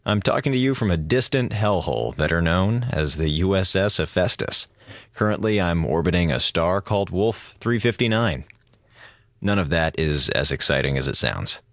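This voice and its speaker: A man's voice: low-pitched, lively intonation, normal speed, a bit bright, no echo, clean studio sound. An American man playing a sarcastic, grumbling space station communications officer, flippant and exasperated, delivering a radio drama monologue. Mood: sardonic. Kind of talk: audio drama monologue, mock radio address to schoolchildren.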